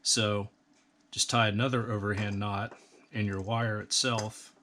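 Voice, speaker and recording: Calm low-voiced man giving practical instructions. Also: measured pace, a little hiss or hum. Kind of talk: step-by-step how-to instruction.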